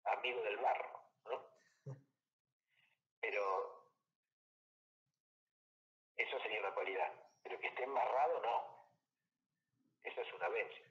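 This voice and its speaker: An Argentine man teaching a logic lesson at a relaxed, patient pace, often dropping in short check-in questions. His voice sits in a middle range and comes through a dull, echoey call line. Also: on the low side, unhurried, pauses often. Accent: Rioplatense Spanish. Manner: patient, explanatory, checks understanding with tag questions. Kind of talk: tutoring lesson over a call.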